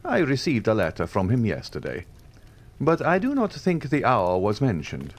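A man voicing a suave nobleman who speaks in a smooth, half-jesting, courteous tone. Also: mid-range voice, huge pitch swings, normal speed, pitched above the performer's own voice. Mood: courteous, ironic. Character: suave, coolly ironic older nobleman, male.

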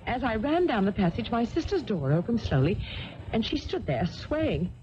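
An actress playing an uneasy young heiress recounting a dreadful night in a 1970s radio drama. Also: female voice, a little high, big pitch swings, moderate pace, warm tone. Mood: tense, horrified. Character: uneasy young English heiress recounting her sister's death. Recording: dull, noisy archived radio broadcast.

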